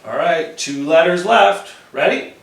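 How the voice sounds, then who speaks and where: A man's voice: a little high, expressive, a little slow, a bit bright, a little hiss or hum. A man leading a children's storytime, telling stories and encouraging young listeners as he goes. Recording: roomy, echoey sound.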